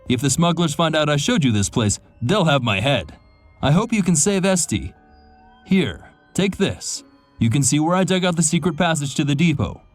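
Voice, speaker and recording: Old sea captain, drawling, lively pitch, game cutscene, faint music behind. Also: male voice, no echo. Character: old tipsy male sea captain, gruff and sentimental.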